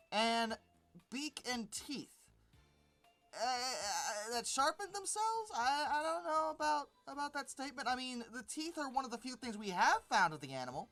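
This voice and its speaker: An animated video host with a mid-range voice that swings widely in pitch. The commentary is informal, sarcastic and exasperated, with emphatic stress on key words. Music plays behind the voice. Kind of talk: informal reaction commentary on a read-out article.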